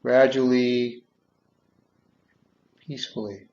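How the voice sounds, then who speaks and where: A man guiding a meditation in measured short phrases with long pauses, on a dull, muffled recording. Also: mid-range voice, little pitch movement, a little mellow. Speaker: guided meditation leader. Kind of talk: guided meditation, slow soothing instruction.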